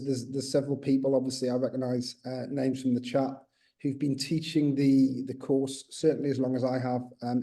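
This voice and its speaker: A man's voice: fairly high pitch, dark tone. A man presenting on a video call webinar, explaining at length, with many fillers and restarts, and a slight accent of his own. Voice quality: says he has a slight accent. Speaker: textbook co-author.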